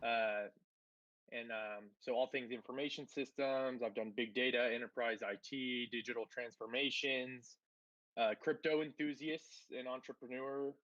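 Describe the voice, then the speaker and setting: A man of middle pitch on a casual livestream, talking at length about himself with plenty of ums and restarts. His audio sounds muffled and dry, with no room around it. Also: fairly even intonation, normal speed, a bit bright, no echo. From born in Spain, grew up in Texas. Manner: rambling, many fillers and restarts. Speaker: guest, tech entrepreneur.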